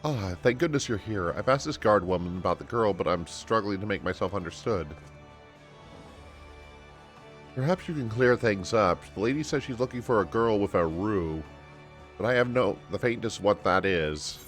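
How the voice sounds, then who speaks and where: A man's voice: lowish voice, expressive, a little fast, faint music behind, close to an everyday voice. Man voicing an earnest, courtly game character from afar. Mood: earnest, polite. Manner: formal, old-fashioned politeness.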